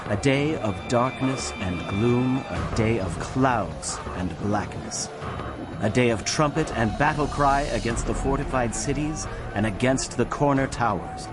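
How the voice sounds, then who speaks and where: An actor playing a grave prophet proclaiming coming doom, narrating dramatized scripture with solemn, emphatic delivery. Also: male voice, on the low side, natural voice.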